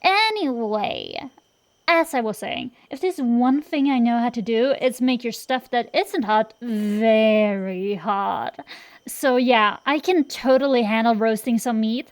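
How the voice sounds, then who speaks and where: A woman's voice: fairly high pitch, takes it slowly. A woman playing a cocky tsundere dragon-girl sorceress in an acted roleplay, bantering straight to the listener with sassy, slangy, defensive bluster. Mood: boastful.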